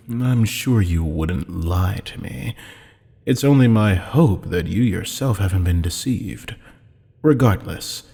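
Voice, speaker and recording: A man playing a haughty, amused demon buyer in an audio roleplay, with a husky, sardonic, teasing drawl. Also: lowish voice, warm tone, full character voice. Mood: silky, probing. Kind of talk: scripted audio roleplay acting. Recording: long hall-like reverb.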